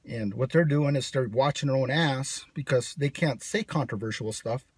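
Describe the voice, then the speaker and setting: A man's voice: mid-range voice, moderate pace, breathy edge, no room sound. A man from the United States talking in a brash, sarcastic, rambling monologue to camera. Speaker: channel host. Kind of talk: casual vlog monologue.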